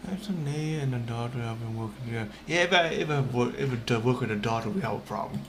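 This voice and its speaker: A man streaming a video game, reacting casually in halting, informal speech with game music behind him. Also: mid-range voice, noisy background. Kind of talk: casual reacting and rambling over gameplay.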